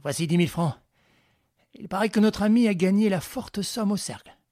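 A man voicing a cunning gentleman thief who gives orders briskly and with dry irony. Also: sits high, no room sound, voice pushed up, natural voice. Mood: commanding. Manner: brisk, commanding, ironic.

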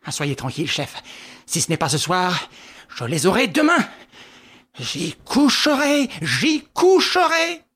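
An audiobook narrator voicing a stubborn, excitable veteran police inspector who talks in agitated, insistent bursts. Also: medium pitch, moderate pace, slightly rough.

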